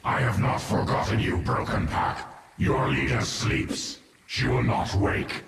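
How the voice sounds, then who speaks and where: Deep, echoing monster pack leader. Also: male voice, slow. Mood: menacing. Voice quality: growling, monstrous. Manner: declamatory threats in short phrases. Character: menacing primal alien pack leader, savage and devouring. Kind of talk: video game villain acting. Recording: echoing, distant, noisy with long reverb.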